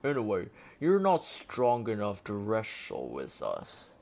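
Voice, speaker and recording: A man voicing an angry, burly rhino in a storybook with a gruff bellow. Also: lowish voice, huge pitch swings, slow pace, darkish tone, heavily acted.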